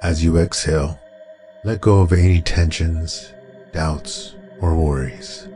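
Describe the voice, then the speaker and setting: A man with an extremely deep voice guides a meditation. His delivery is calm and soothing, and he moves slowly from phrase to phrase. Clearly audible meditation music with singing bowl tones plays behind him.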